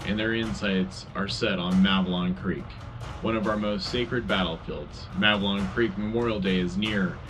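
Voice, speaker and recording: A man's voice: lowish voice, lightly acted. A man playing a solemn, patriotic wartime radio anchor, reading a scripted news broadcast in a declarative announcer style.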